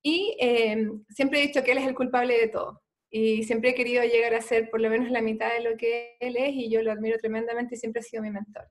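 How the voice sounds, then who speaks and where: Chilean woman, doctor guest, earnest storytelling, fairly high voice, lots of "eh" fillers. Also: little pitch movement, brisk. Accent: Chilean Spanish. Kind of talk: conversational storytelling interview.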